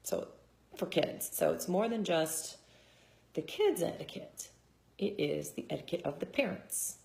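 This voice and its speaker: A woman in her thirties, hoarse from shouting, chatting casually to her followers in a rambling way full of fillers. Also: on the low side, expressive, a little slow, poor audio. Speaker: vlogger and mom. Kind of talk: casual chat to camera.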